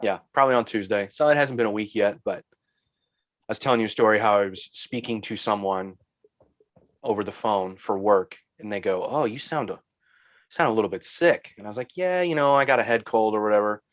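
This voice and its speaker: A man's voice: on the low side, brisk, dry recording. A man in his late thirties with a head cold, talking bluntly and offhandedly as a podcast co-host. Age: 30s. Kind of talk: casual chat.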